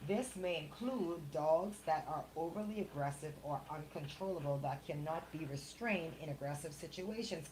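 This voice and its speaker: Lower-voiced Bahamian woman, noisy radio audio. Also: darkish tone. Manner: blunt, colloquial. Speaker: radio co-host reading local news. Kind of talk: casual radio banter and news reading.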